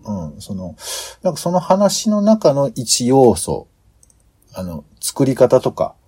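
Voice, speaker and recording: A man's voice: mid-range voice, wildly swooping pitch, slow pace, mellow. A man in a casual planning discussion as a podcast host, speaking hesitantly as he searches for his words.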